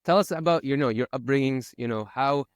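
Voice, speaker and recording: A man hosting a podcast, asking questions in a halting way, often restarting and repeating words. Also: mid-range voice, smooth, no room sound, studio quality. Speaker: podcast host. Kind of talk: casual podcast interview.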